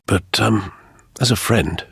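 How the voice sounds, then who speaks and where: An actor playing an older, worried and devoted butler in a video game, with a British accent, formal and solemn. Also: male voice, lowish voice, slow, vocal fry, a bit bright, slightly rough, dry recording, studio quality, full character voice. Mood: concerned, solemn.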